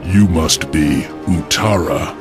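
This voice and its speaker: A man's voice: extremely low pitch, slow. A man playing a grave, resolute, honourable clan chieftain in a video game cutscene, stern and heroic. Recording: music behind the voice.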